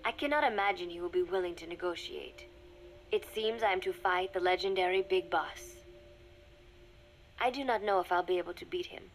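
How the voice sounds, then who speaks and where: An actress playing a young secret agent confiding in a recorded diary, speaking in measured, formal phrases that often drop to a murmur, wistful and resigned. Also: female voice, fairly low pitch, slight background noise, natural voice, rough recording. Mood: wistful, resolute. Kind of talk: scripted dramatic monologue, recorded diary.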